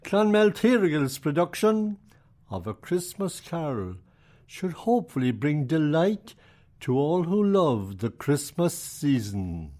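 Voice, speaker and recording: An Irish man giving a measured, formal introduction to a radio play. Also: fairly high pitch, sing-song, warm tone. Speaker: presenter.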